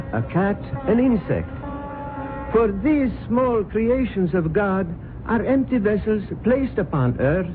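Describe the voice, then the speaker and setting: Casting: solemn intoning guru preacher, high-pitched, old radio play, tinny line with music. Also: male voice. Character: solemn male temple guru lecturing on souls. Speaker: cult leader preacher. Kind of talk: 1970s radio drama acting.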